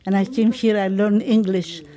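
A woman in her seventies from Turkey whose first language is Ladino, speaking slowly and deliberately in an interview. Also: medium pitch, crowd noise. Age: 70s.

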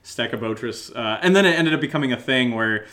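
A man's voice: mid-range voice, expressive, a little fast, bright, forceful tone. Giggly man in casual podcast chat. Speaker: podcast co-host and audio drama creator.

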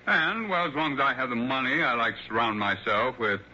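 An actor playing an older, blind, wealthy mystery writer, courteous and talkative with halting hesitations, in a vintage radio drama. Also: male voice, mid-range voice, sharp tone. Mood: wistful. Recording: muffled, noisy old radio broadcast.

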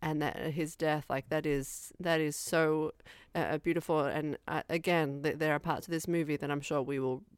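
An Australian woman co-hosting a podcast, leading the chat with an emphatic, repetitive style. Her voice is slightly low and sounds dry and close. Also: fairly even intonation, normal speed, hardly any pauses, clean tone, no echo. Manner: emphatic, repeats words. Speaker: podcast co-host. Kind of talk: casual chat.

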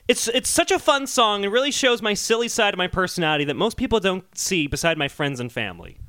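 Male podcast co-host, high and bright voice, casual and earnest, rambling as he explains and defends his points. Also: sing-song, quick pace, smooth, no echo, studio quality. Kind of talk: casual comedic podcast discussion.

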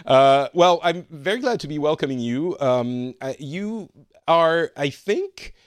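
A man's voice: on the high side, expressive, a little slow, no echo. Chatty teasing man in his forties. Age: 40s. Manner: chatty, teasing, laughs often. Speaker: podcast host. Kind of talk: casual chat, welcoming guests.

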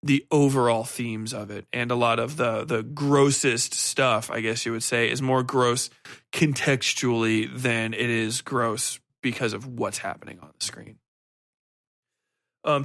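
A man hosts an off-the-cuff review in an average-pitched voice. He wanders through his thoughts, hesitating and correcting himself, and swears freely as he gives blunt opinions. The sound is dry, with very little room in it. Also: fairly bright tone, no room sound. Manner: rambling, hesitant, self-correcting. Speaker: podcast host reviewing books and TV. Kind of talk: casual unscripted review.

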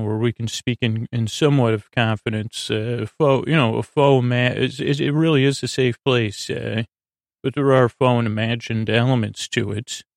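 Male sleep-podcast host, creaky voice, wandering halting monologue, very dry close sound. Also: medium pitch, dry recording. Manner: meandering, halting, absent-minded digressions. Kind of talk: rambling bedtime monologue to help listeners sleep.

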